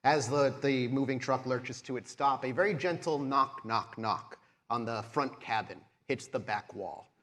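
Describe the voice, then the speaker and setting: A man running a live tabletop game as game master, narrating scenes in measured, descriptive detail. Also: mid-range voice, fairly bright tone. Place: live convention show on stage.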